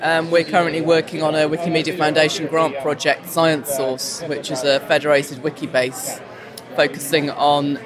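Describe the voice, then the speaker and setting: A man in a slightly high, flat voice runs fluently through a technical project summary. A crowded room hums behind him. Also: moderate pace, crowd noise. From Cambridge, UK. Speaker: project worker. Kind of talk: self-introduction in a group round.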